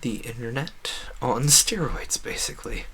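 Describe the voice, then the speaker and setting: A man's voice: mid-range voice, measured pace, bright, forceful tone, slight background noise. A man livestreaming a video game, chatting casually and musing at length in a talkative way. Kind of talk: casual rambling livestream chat over gameplay.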